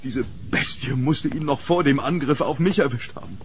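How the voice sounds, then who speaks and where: A man playing a fearful, hunted gentleman in a German horror radio play, speaking with dramatic urgency. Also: mid-range voice, normal speed, a little hiss or hum, close to an everyday voice. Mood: grim. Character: hunted, fearful English gentleman haunted by a demon.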